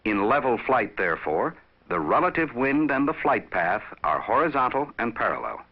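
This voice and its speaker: A man reading scripted instructional narration for a training film in a measured, explanatory, didactic manner. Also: mid-range voice, very animated. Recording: dull vintage training film soundtrack.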